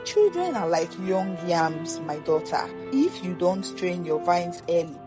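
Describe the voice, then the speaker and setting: A woman's voice: fairly low pitch, lively intonation, a little slow, music in the background. Woman acting a firm old Nigerian grandmother. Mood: calm, firm. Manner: firm, exclamatory. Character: elderly Nigerian grandmother, calm, firm, proverb-speaking, easily shocked.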